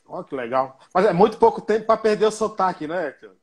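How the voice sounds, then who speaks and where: A man's voice: high-pitched, expressive, fast. A man hosting an interview, chatty and jokey, with short affirmations. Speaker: interviewer host. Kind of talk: casual interview conversation.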